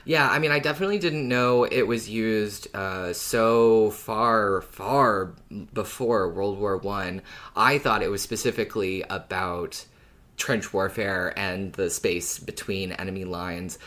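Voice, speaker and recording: A man's voice: lowish voice, steady tone, measured pace, a bit bright. A man co-hosting a podcast, explaining things informally with conversational asides. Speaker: podcast co-host.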